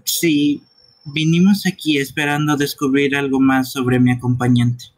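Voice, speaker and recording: A man's voice: medium pitch, level tone, a little slow, low-quality recording. A man streaming a live fan dub of a video game, reading its dialogue aloud in character. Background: game music.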